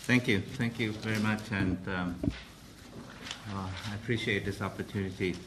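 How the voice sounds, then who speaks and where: Man, low voice, formal press briefing, halting delivery with fillers; slight room noise. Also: steady tone. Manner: hesitant, formal, many pauses and restarts. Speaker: council president briefing the press.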